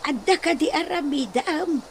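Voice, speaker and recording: Woman playing a very high, gentle trusting friend. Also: lively intonation, normal speed, a little hiss or hum. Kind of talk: Ilocano radio comedy drama acting.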